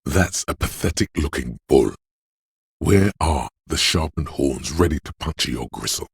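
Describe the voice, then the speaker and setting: A man voicing a grim, wry inner voice of pain in a video game, narrating to the listener in a husky, deliberate, ominous way. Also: rumbling low, animated, no room sound, full character voice. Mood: dry, knowing. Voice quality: gravelly, husky. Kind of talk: scripted second-person game narration.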